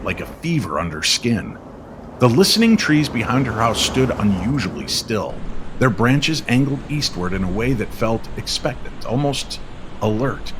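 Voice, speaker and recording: A man narrating an eerie scripted story in an old-time radio style, measured and suspenseful. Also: lowish voice, noisy background.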